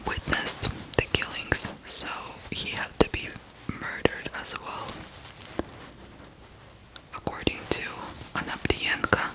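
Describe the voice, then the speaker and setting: Slow, whispering ASMR storyteller from Ukraine. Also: low-quality recording. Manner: hushed whispering, measured, deliberate phrasing. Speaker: ASMR true crime host.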